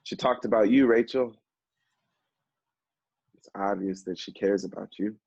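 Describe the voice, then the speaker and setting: An actor playing an earnest, emotional man who knows addiction firsthand, speaking haltingly in a stage play. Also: on the low side, creaky, darkish tone, breathy edge, exaggerated voice. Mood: earnest, urging. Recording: roomy, dull stage recording.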